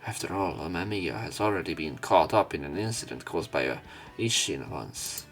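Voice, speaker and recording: A man's voice: low-pitched, expressive, moderate pace, faint music behind. A man doing let's play commentary, reading visual novel narration aloud with opinionated asides. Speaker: let's player.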